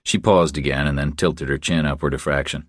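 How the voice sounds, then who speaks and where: A man narrates a thriller audiobook in an extremely deep voice. The recording is dry and close, with no room sound around the scripted reading. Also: steady tone, a little fast, no room sound.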